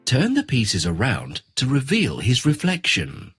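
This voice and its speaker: A man narrating a scripted children's fairy tale in an expressive, deliberate storytelling manner. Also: mid-range voice, husky, soft background music.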